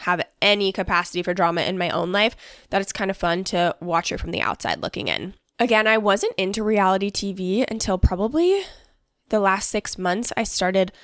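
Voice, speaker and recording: A woman's voice: medium pitch, animated, moderate pace, a bit bright, dry recording, clean studio sound. A young woman hosting a casual solo podcast, chatty and upbeat, talking with a stuffy nose from allergies. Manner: bubbly, chatty, fillers like "like" and "honestly". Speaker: podcast host.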